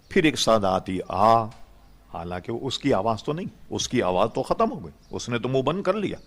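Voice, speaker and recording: An older man, an Islamic scholar answering questions in an informal, explanatory, conversational way. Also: medium pitch. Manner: explanatory, conversational, uses examples and check-in questions.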